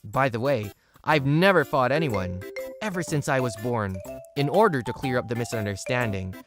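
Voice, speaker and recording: A woman voicing a lonely, misunderstood high school boy who narrates his life matter-of-factly in a manga dub. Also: expressive, normal speed. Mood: gloomy. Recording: prominent music bed behind voice.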